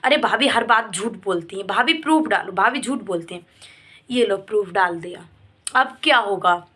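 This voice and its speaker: A woman's voice: medium pitch, animated, normal speed, sharp tone, husky. A woman giving an informal opinion vlog, speaking emphatically with repeated phrases in a reproachful, pleading way. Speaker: vlogger commenting on a family controversy. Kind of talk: informal opinion vlog addressed to viewers and a person involved.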